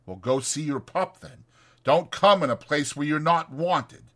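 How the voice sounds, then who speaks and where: A man's voice: on the high side, unhurried, a bit bright, voice pushed up. A man voicing a proud, aloof, crippled stable hand who grumbles sharply in speech. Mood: guarded, complaining.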